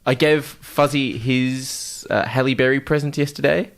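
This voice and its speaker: A man's voice: mid-range voice, fairly even intonation, unhurried. A man co-hosting a podcast in casual, jokey chat peppered with swearing. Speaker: podcast co-host.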